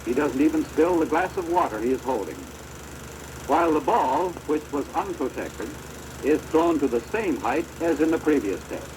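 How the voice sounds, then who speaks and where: American male narrator, formal and instructive, very animated intonation, measured scripted delivery, deteriorated 16mm film sound with clear machine noise. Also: on the high side. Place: recorded off an old film projector.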